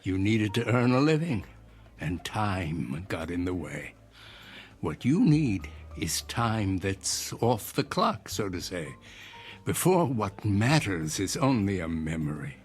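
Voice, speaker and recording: An actor playing an eccentric older inventor in an audio drama, speaking in a formal, measured, philosophical and persuasive way. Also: male voice, sits low, sing-song, faint music behind, heavily acted. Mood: courteous, intriguing. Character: eccentric old inventor, courteous, cryptic, philosophical stranger.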